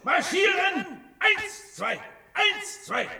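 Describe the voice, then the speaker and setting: An actor in a radio play playing a small, pompous, cowardly would-be dictator who commands and barks slogans. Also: male voice, extremely high pitch, sing-song, slow, gravelly, pushed and bright, shouting, full character voice. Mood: fanatical, shouting.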